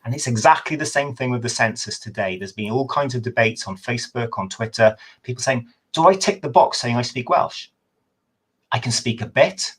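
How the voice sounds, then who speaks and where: A man, a history professor, giving a measured, thoughtful explanation over a video call, sometimes correcting himself mid-sentence. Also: medium pitch, fairly bright tone, husky. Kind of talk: explanatory talk in a webinar.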